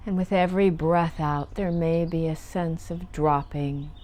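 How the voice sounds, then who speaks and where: Female meditation guide, slightly low register, very unhurried, gentle and soothing delivery. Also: fairly even intonation. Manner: gentle, soothing, lingering on phrases. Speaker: meditation teacher.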